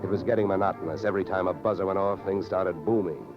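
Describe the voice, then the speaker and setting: A man's voice: deep voice, steady tone, fast talker, lo-fi sound, close to an everyday voice. An American man playing a wisecracking insurance investigator in old radio detective drama style, clipped, sardonic and pushy. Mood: dry narration. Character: hardboiled, wisecracking male freelance insurance investigator. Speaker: lead actor and first-person narrator. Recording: vintage radio recording, music behind.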